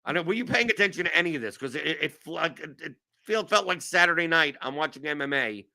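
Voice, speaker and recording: A man's voice: fairly high pitch, animated, quick pace, vocal fry, bright, forceful tone. A man in his forties from New York, hosting a casual show in a rambling, self-mocking way with lots of restarts. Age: 40s. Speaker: show host. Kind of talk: casual chat.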